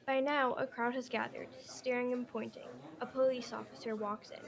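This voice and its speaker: A woman's voice: on the high side, little pitch movement, normal speed, vocal fry, a crowd in the background. A woman reading scripted narration for an amateur radio drama. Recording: music playing behind the voice.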